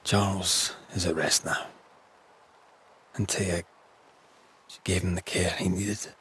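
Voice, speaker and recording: An actor playing a compassionate ghost hunter in a game, earnest and plain-spoken. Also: male voice, deep voice, flat delivery, takes it slowly, a bit bright, slightly rough, heavily acted. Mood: gentle. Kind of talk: dramatic game cutscene acting. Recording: music behind the dialogue.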